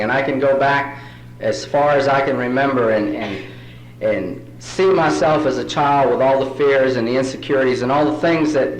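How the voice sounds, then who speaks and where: A man from New York City whose first language is Spanish talks to a meeting with folksy, self-deprecating humor. His voice sits at an ordinary pitch. The recording carries the echo of a large room. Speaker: recovery meeting speaker. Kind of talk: storytelling talk at a recovery meeting. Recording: roomy hall recording.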